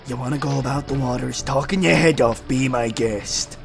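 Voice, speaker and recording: Gravelly menacing sailor, played by a man, audio drama, curt folksy speech, music behind. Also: mid-range voice, normal speed, lightly acted. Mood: stern warning.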